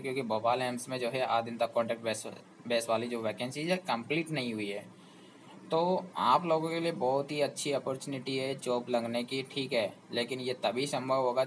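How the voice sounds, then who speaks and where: A man of ordinary pitch talks students through a topic, persuading and pressing them with rhetorical questions. Faint background noise hangs under his voice. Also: rough recording. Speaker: job information channel host. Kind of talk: explanatory talk to students.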